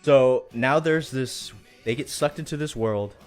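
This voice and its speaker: A man's voice: medium pitch, a little slow. A man hosting a casual livestream chat in an enthusiastic, rambling, slangy way. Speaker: show host. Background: faint music.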